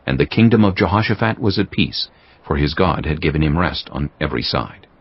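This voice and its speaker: Deep-voiced solemn male narrator. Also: lively intonation, fairly quick, husky, dull audio. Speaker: audiobook narrator. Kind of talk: scripted scripture narration.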